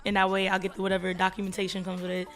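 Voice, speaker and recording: A woman's voice: mid-range voice, completely flat delivery, very fast, a bit bright. A young woman with family from Trinidad, chatting as a music guest on a show, laughing often. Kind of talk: casual conversation.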